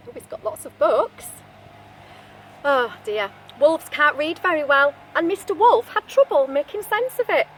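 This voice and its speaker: A woman with a high, swooping voice reads a picture book to little children. She is expressive and keeps adding chatty asides and questions, like a teacher. There is faint noise behind her. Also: unhurried. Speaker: storybook reader, teacher-like. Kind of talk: reading a picture book aloud to young children.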